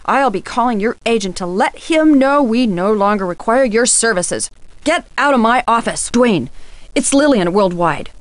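A woman acting a sharp-tongued, dramatic TV studio insider in a video game, with a snippy, theatrical delivery. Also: on the high side, lively intonation, moderate pace, no room sound, close to an everyday voice. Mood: imperious. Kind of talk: acted video game dialogue.

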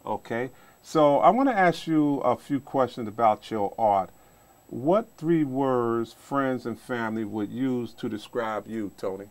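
Middle aged guy hosting a small studio interview, average pitch, kinda rambly. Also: lively intonation, unhurried. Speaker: talk show host. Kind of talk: informal interview.

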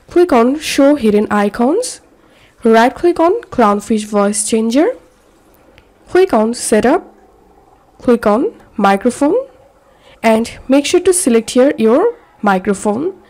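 A woman narrating a scripted software tutorial in a measured, step-by-step instructional way. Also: a little high. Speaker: tutorial presenter. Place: voice-over for a screen recording.

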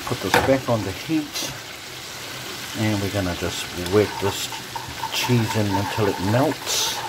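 A man's voice: on the low side, slow. Easygoing man narrating home cooking. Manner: relaxed, talks while cooking, frequent ums. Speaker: home cook vlogger. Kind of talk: casual cooking commentary. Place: home kitchen. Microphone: phone camera held over the stove. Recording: noisy home kitchen phone recording. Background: sizzling and bubbling pots.

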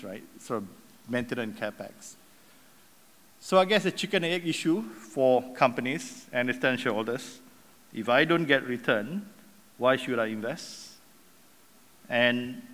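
A middle-aged man at a podium in a big conference hall, his mid-pitched voice carrying a long reverb. He speaks casually, tossing in rhetorical questions and a habitual "right?". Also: fairly bright tone. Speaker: session host introducing the speaker. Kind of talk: speech from the podium. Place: large conference hall stage. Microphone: lectern microphone.

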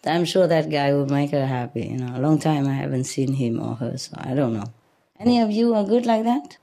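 A woman's voice: sits low, expressive, normal speed, mellow. A middle-aged woman, a spiritual teacher, talking informally to a seated group through a desk mic, chatty, laughing often and restarting her sentences. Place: room with paper screens, audience in a hall. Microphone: desk mic on a stand.

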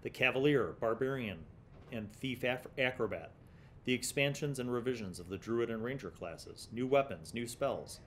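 Male host, middle-aged, mid-pitched voice, conversational review and read-aloud commentary. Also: lively intonation, moderate pace, fairly bright tone, a little hiss or hum. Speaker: host of a gaming magazine review series. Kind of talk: casual commentary with passages read aloud from an article. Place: small indoor studio set with shelves. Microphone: condenser mic on the desk in front of him.

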